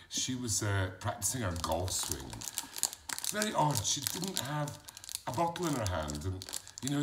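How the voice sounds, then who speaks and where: A man's voice: low-pitched, noisy background. A man playing a chatty middle-aged bloke with a mixed Yorkshire and Glaswegian accent, filming a cooking video in a home kitchen and rambling off into gossip and stories. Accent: Yorkshire with Glaswegian mix. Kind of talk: comic character monologue.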